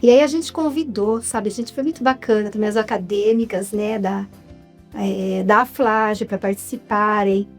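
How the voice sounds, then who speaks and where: A woman's voice: medium pitch, moderate pace, faint music behind. A Brazilian woman with a Brazilian Portuguese accent, a writer and filmmaker, talking earnestly as a guest in a casual interview.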